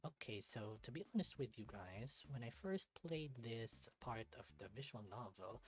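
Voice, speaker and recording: A let's-play host reading a visual novel aloud, moving between storybook-like narration and casual commentary. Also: mid-range voice.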